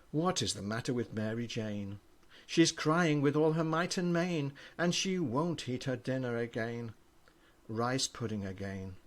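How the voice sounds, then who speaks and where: An older man, a grandfather, reading children's poems aloud with an expressive storybook delivery. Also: mid-range voice.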